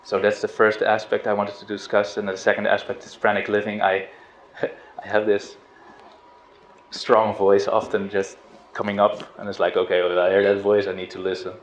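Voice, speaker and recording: A middle-aged man from the Netherlands giving an informal spiritual talk, relaxed and hesitant, with restarts and soft laughs. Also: on the low side, normal speed. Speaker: spiritual teacher. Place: outdoors on a river boat. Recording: roomy, dull lo-fi sound with faint noise.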